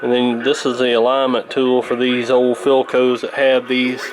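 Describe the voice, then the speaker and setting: A man's voice: mid-range voice, monotone, moderate pace, rough recording. A man giving a casual, unscripted repair demonstration in a folksy, rambling, hesitant way. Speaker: host of a vintage radio repair channel.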